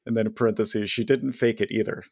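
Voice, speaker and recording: Man with a gravelly voice, wry podcast co-host, reads letters aloud, dry recording. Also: on the low side, steady tone, fast, darkish tone, no room sound. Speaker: podcast co-host reading listener questions. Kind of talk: casual podcast chat.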